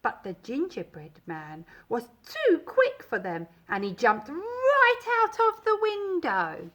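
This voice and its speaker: Female children's storyteller: high voice, emphatic and dramatic, unhurried delivery. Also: huge pitch swings, fairly bright tone. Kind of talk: expressive children's storybook reading.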